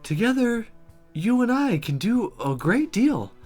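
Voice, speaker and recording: A woman voicing a confident, calm magic teacher who teases and flatters in a measured, encouraging way. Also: on the high side, faint music behind, pitched above the performer's own voice. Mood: praising, intimate.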